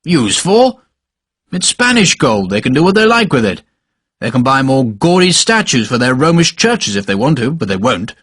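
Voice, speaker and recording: A man voicing a short, pious older cavalry major who speaks gruffly and brusquely in clipped commands. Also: medium pitch, sing-song, normal speed, a bit bright, no echo, studio quality. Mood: scornful amusement. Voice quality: gruff, brusque, barking laugh. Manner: clipped commands, fervent and impatient. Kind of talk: audiobook character voice.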